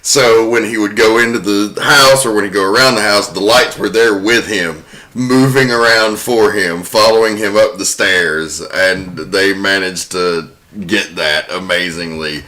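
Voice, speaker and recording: A man's voice: lowish voice, measured pace, bright, forceful tone, poor audio. A man co-hosting a film podcast, presenting behind-the-scenes commentary to friends. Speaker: podcast co-host. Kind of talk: prepared film trivia commentary among friends.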